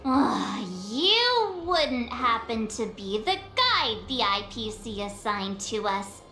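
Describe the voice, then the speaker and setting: A woman's voice: fairly high pitch, big pitch swings, takes it slowly, bright, forceful tone, reverberant, faint music behind, exaggerated voice. An actress playing a perky, curious young woman adventurer in a video game. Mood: questioning.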